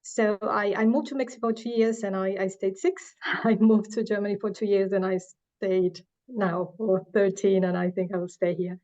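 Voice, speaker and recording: A middle-aged woman from Uruguay talks earnestly in a panel discussion, pausing on fillers and restarting her phrases. Her voice is mellow and fairly even in pitch. The recording sounds a little dull and lo-fi. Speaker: marketing manager. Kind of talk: panel talk.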